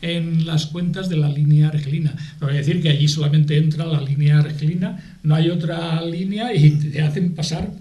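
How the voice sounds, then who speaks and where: Higher-voiced Spanish man, mellow, echoey room. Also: steady tone, normal speed, few pauses. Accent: Spanish from Spain. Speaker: guest, aid association spokesman. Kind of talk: explanatory talk in a TV debate.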